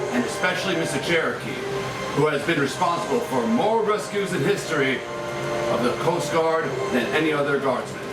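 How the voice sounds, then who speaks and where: An actor at a table read playing an American president, delivering a formal, ceremonious address a little haltingly. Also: male voice, fairly high pitch, close to an everyday voice. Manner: halting, ceremonious, stumbles over the script. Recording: echoey, distant room recording with clear noise.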